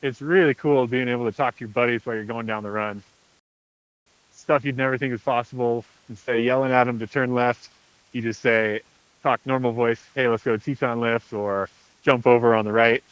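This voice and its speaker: A man talks through a product review in a casual, laid-back way. His voice sits at a medium pitch. The sound is dry, with very little room in it, as in a simple vlog voice track. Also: steady tone, a little fast, clear, resonant tone, dry recording, dull audio. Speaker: host of a ski gear review.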